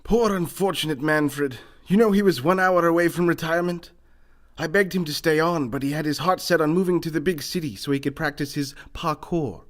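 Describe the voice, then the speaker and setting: A man's voice: fairly high pitch, fairly quick, lightly acted. A man playing a pompous, wealthy, eccentric businessman in a comic radio mystery, speaking in a formal, theatrical way. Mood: mournful. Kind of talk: comedic old-time radio mystery acting.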